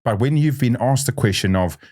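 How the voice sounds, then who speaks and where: A man's voice: mid-range voice, a little fast. A man hosting a podcast interview, talking animatedly and acting out quotes. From South Africa. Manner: animated, restarts sentences, acts out quotes. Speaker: podcast host. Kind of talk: conversational interview.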